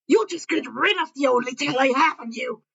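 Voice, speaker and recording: A woman voicing a brash, sharp-tongued teenage boy hero student, blunt, barking and seething. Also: high voice, animated, brisk, gravelly, muffled recording, exaggerated voice.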